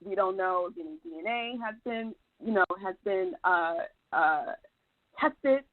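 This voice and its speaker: A woman's voice: mid-range voice, lively intonation, a little slow, dry recording. A woman calling in to a talk show, earnest and polite as she lays out her reasoning. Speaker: caller. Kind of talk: call-in commentary. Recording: tinny phone line.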